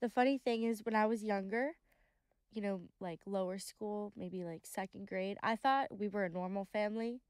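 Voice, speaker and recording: A young woman in her late teens with a smooth, clear voice at a middle pitch. She chats and tells stories with a ready laugh. The sound is dry and close. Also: moderate pace, no room sound. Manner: laughing, chatty storyteller. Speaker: guest, host's daughter. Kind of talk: casual family conversation. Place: cozy living-room studio on a sofa. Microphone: boom-arm studio mic.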